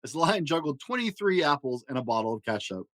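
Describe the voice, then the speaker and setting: A man narrating a children's storybook aloud with expressive delivery. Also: on the high side, fast, no room sound. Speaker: storyteller and host.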